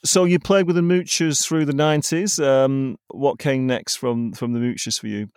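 A man's voice: mid-range voice, steady tone, moderate pace, darkish tone, clear, resonant tone, dry recording, studio quality. A man interviewing a musician in a chatty, hesitant way, with many fillers and restarts. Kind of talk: casual interview.

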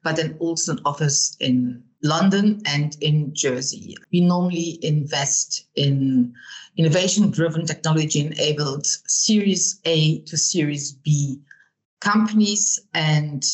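Low-voiced German-accented woman. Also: a little slow, rough recording. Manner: frequent fillers like "kind of go and", repeats words. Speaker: venture capital partner, guest. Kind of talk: interview answers.

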